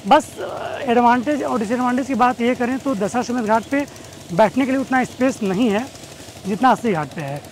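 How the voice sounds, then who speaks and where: A man, explaining things as a travel guide to camera, with pauses and English words mixed in. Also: extremely high pitch. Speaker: tour company host and guide. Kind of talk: informational travel vlog to camera. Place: outdoors on open riverside steps. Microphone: clip-on wireless lapel mic. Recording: noisy outdoor ambience, distant engines.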